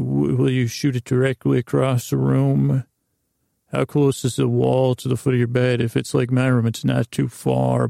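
A man hosting a podcast in a gravelly voice, delivering a whimsical monologue that meanders haltingly through tangents and self-interruptions. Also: medium pitch, warm tone, no room sound, clean studio sound. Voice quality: creaky, gravelly. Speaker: podcast host.

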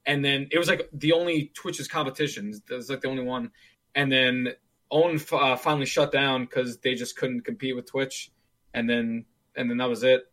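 A man's voice: mid-range voice, steady tone, normal speed, a bit bright. A man in his twenties chatting casually about gaming, rambling with lots of fillers and restarts. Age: 20s. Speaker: streamer.

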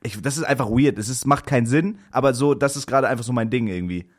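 A man's voice: mid-range voice, fast talker. A man, co-hosting a podcast, joking crudely with a friend and then rambling about his life. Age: 20s. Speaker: podcast co-host. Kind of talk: casual banter between friends.

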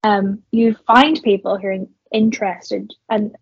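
A woman's voice: medium pitch, steady tone, unhurried, vocal fry, breathy edge, muffled recording. A young woman with a Northern Irish accent, a podcast guest talking reflectively about her career, with plenty of fillers and restarts. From Northern Ireland. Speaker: guest, marketer and entrepreneur. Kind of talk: conversational podcast interview.

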